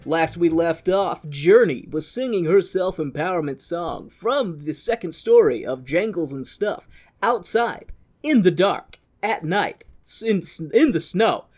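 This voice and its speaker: A man's voice: sits high, expressive, a little slow, darkish tone, faint music behind. A man co-hosting a podcast, giving a sardonic, sweary comic plot recap in a theatrical, chatty way. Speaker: podcast co-host.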